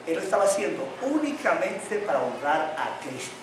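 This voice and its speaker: A male pastor preaching with a fairly high voice, drawing answers from his congregation with repeated questions. A slight tongue-tie tangles his words at times. He is heard from some distance in a very echoey church hall. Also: big pitch swings, moderate pace, background noise. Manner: call-and-response questions to the congregation. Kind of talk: sermon.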